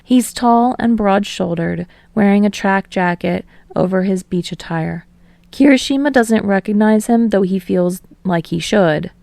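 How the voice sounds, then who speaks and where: A woman's voice: medium pitch, unhurried, gravelly, darkish tone, no room sound. A woman reading scripted fiction as an audiobook narrator.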